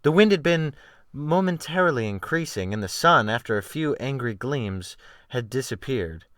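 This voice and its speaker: Animated man narrating a dramatic audiobook. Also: medium pitch, normal speed, no room sound, studio quality. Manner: theatrical, expressive delivery.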